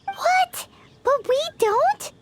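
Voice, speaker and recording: A tiny, squeaky game companion, extremely high and swooping in pitch. The character is childlike, eager and blunt. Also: female voice, drawn-out words, full character voice. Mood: baffled. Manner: animated, childlike. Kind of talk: video game character acting.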